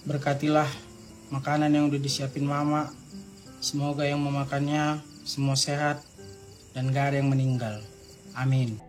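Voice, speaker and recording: An actor playing a stern family father who slowly leads a mealtime prayer in a film scene. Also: medium pitch, level tone, faint music behind, lightly acted. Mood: solemn. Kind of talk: film dialogue clip.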